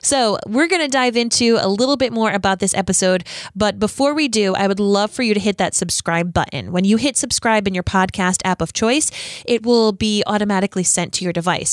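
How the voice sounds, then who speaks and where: A young woman hosting her own podcast, upbeat and encouraging, her voice a little raspy from allergies. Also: mid-range voice, dry recording, studio quality. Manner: peppy and encouraging, bursts of excitement. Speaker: podcast host. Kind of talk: upbeat solo podcast coaching.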